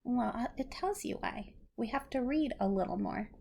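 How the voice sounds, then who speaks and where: Female read-aloud storyteller, higher-pitched with a creaky edge, patient and simple in phrasing for a young child. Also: lively intonation, normal speed, darkish tone.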